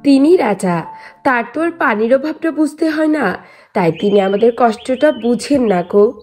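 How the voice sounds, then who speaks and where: A woman's voice: fairly high pitch, expressive, moderate pace, a little mellow, faint music behind. A woman voicing a tired, complaining village potter's wife in a cartoon, sighing and plaintive. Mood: weary, plaintive.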